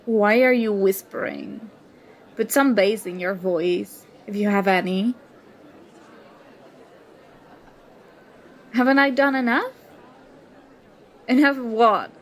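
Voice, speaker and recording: Casting: female performer as sarcastic college girl in an audio roleplay, highish voice, unhurried delivery, confrontational and wounded pride. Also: a faint crowd. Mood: scornful. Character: indignant young woman student, sarcastic former school enemy. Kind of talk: ASMR roleplay acting, one side of a dialogue.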